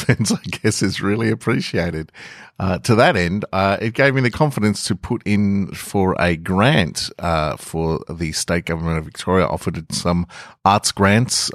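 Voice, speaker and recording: A very deep-voiced man hosts his podcast in a loose, rambling way, full of fillers and self-deprecating asides. The sound is dry and close, with no room around it. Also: dry recording. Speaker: podcast host and reader. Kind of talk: casual chat and story reading.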